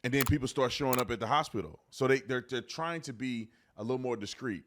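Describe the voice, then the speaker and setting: A man's voice: medium pitch, fast, noisy background. Emphatic American man giving commentary. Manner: repeats phrases for emphasis. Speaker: host commentator, former police officer.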